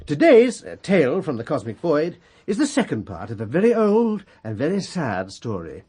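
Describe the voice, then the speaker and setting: An actor playing an excitable, childish alien storyteller in a comic radio play, gleeful, whiny and impatient, with a singsong delivery and a husky voice. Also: medium pitch, normal speed, faint music behind. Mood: storytelling.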